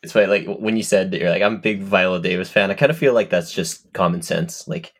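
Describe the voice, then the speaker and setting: A man's voice: low-pitched, quick pace. A man with a stuffed-up head cold co-hosting a casual film and TV podcast, talking in a rambling, stop-start way with repeats. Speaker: podcast co-host.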